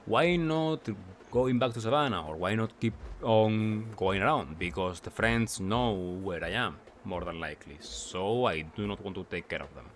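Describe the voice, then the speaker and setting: Low-voiced man giving gameplay commentary. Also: moderate pace, a little hiss or hum. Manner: asks himself questions and answers them. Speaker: gaming video creator.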